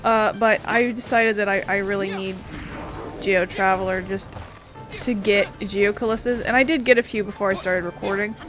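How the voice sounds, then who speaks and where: A woman's voice: mid-range voice, fairly even intonation, normal speed, music in the background. A woman giving casual let's play commentary, playful and sarcastic, with the odd swear word. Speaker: gaming video host.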